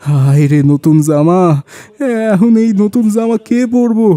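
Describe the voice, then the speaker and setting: A man's voice: high voice, expressive, unhurried, dark tone, no room sound. A man voicing a poor, humble rickshaw-puller father with a rural Bangladeshi dialect in a melodramatic cartoon. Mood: anguished wailing.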